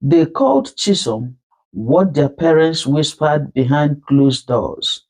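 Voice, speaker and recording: A storyteller with a Nigerian accent narrating a folktale in an emphatic, theatrical manner with dramatic pauses. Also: mid-range voice, expressive, darkish tone, rough recording.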